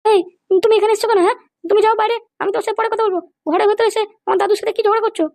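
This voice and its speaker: Very high-pitched feisty young granddaughter, played by a woman. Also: little pitch movement, racing, gravelly, darkish tone. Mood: indignant, scolding. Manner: scolding. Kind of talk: amateur comedy cartoon dub.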